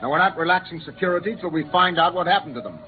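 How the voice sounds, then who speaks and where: An actor playing a stern, cautious rocket captain who gives commanding orders in a 1950s radio drama. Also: male voice, high-pitched, lively intonation, rapid-fire, narrow, tinny audio, soft background music. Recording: band-limited, noisy old radio recording.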